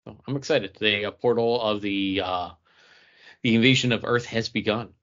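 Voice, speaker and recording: A man's voice: lowish voice, moderate pace, a bit bright. A man co-hosting a podcast, speaking in casual, bantering chat about geek culture. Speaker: podcast co-host. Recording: dull, lo-fi podcast audio.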